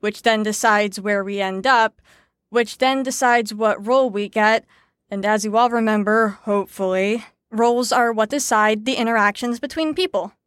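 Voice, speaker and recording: An actress playing a brisk, sarcastic teacher in an audio drama, lecturing in an articulate, wry way. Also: female voice, a little high, fairly bright tone, no echo, clean studio sound, close to an everyday voice. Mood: wry, impatient. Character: brisk, sarcastic female teacher explaining psychology theories. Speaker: lecturer leading a group lesson.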